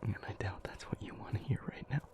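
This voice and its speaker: A man playing an anguished, devoted demon lover in an intimate audio roleplay, hushed and halting, with a breathy, gravelly voice. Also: whispered, close to an everyday voice. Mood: terrified, pleading. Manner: halting, hushed, emotionally heavy delivery.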